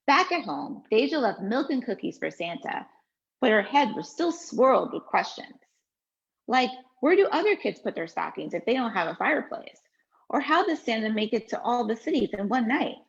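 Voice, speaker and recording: A woman reading her story aloud, her voice gravelly and moving through a wide, lively pitch. The delivery is expressive. The recording is dull and lo-fi. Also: moderate pace. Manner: expressive storytelling, relaxed with fillers when answering. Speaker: children's book author reading her story. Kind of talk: read-aloud storytelling, then interview answers.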